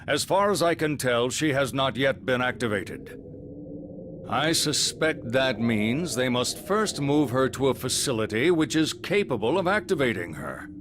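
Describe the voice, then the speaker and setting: An actor playing a composed, analytical doctor in an anime game, with formal and precise phrasing. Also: male voice, mid-range voice, lively intonation, unhurried, noisy background, close to an everyday voice.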